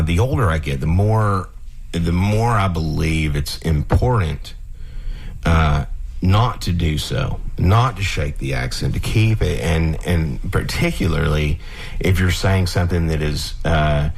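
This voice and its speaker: Southern male guest, very low and husky, halting radio interview talk. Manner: halting, repeats words while thinking. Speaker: guest musician. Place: radio station studio.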